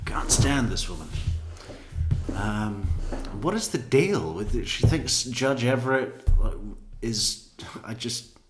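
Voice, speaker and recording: Slow-talking man in an echoey room. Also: medium pitch, animated, a little hiss or hum. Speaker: commentator reacting to a legal panel show. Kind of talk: casual off-the-cuff commentary. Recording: roomy, echoing room sound.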